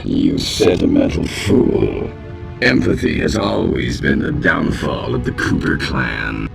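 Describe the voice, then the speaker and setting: An actor playing an ancient, hateful robotic owl villain in a video game, with a gravelly, menacing, pompous delivery. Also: male voice, wildly swooping pitch, slow pace, heavily acted. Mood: scornful. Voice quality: very deep, gravelly. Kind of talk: video game cutscene voice acting. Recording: loud music under the voice.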